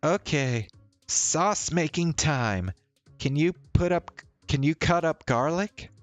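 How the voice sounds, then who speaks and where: A man voicing a quirky, dorky young woman with dark humor, playful and casual, in a visual novel. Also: fairly high pitch, animated, takes it slowly, no echo, highs cut off, faint music behind, voice pushed up. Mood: casual, busy.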